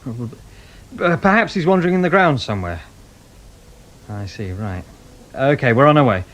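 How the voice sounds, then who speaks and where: An actor playing a matter-of-fact, sardonic British police sergeant in a radio drama. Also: male voice, medium pitch, lively intonation, moderate pace, fairly bright tone, natural voice.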